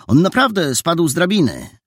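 A man reading an audiobook and voicing a small, earnest boy who speaks up quickly and indignantly, with a husky, creaky grain. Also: on the high side, huge pitch swings, dry recording, studio quality, pitched above the performer's own voice, heavily acted. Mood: defending.

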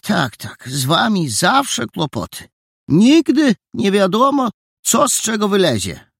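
A fretful older housekeeper in a storybook, put on by a man reading aloud, pitched way up and scolding. Her voice swoops in alarm, with a gravelly, husky grain. The sound is dry and close. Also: huge pitch swings, slow, dry recording, heavily acted. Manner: exclaiming, anxious, high and scolding. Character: fussy worried older woman housekeeper.

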